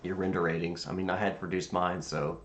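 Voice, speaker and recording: A man streaming as a virtual air traffic controller, chatting casually in a halting, rambling way as he thinks aloud. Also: low voice, flat delivery. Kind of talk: casual livestream chat. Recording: roomy sound with faint background noise.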